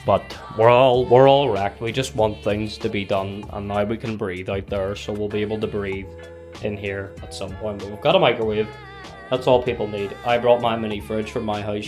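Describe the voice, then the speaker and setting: A man with a Northern Irish accent giving a chatty, rambling vlog tour of an office, filmed on a handheld gimbal camera. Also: fairly low pitch. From Northern Ireland. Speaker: gaming vlogger. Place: indoor office space.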